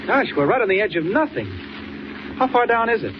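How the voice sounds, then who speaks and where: A muffled, hissy vintage radio serial. an alert male reporter who talks fast in a clipped, urgent way. His voice sits high. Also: very animated. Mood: brisk, alert. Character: alert, brisk young male newspaper reporter. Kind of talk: 1940s radio acting. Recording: dull, noisy old radio recording.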